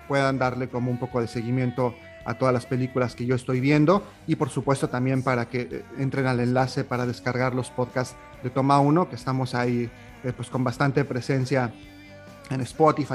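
Young Mexican man, podcast host, chatty monologue, average pitch, faint music bed. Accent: Mexican Spanish. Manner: chatty, warm-hearted, with filler "eh, pues".

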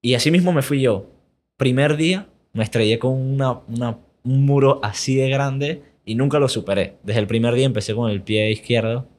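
A young man from Panama with a Panamanian Spanish accent, a guest in a casual podcast interview, relaxed and quick to laugh. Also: mid-range voice. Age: young adult. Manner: relaxed, laughs often, repeats himself.

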